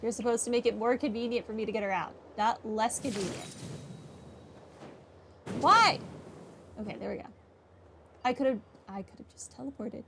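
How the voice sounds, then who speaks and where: High-voiced woman chatting over a game. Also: normal speed, pauses often, fairly bright tone, a little hiss or hum. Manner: talks to herself and to game characters. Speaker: gaming streamer. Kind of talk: casual gameplay commentary.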